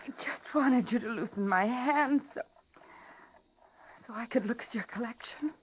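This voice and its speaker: Dull vintage radio sound. Actress plays frightened female captive, high-pitched, polite on the surface, breathless dramatic narrator of a thriller. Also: normal speed, slight background noise, close to an everyday voice. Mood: placating, careful. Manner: dramatic, breathless first-person suspense narration. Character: frightened captive woman, narrating her ordeal, outwardly polite. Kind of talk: 1950s radio thriller acting. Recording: dull 1950s radio drama, loud music.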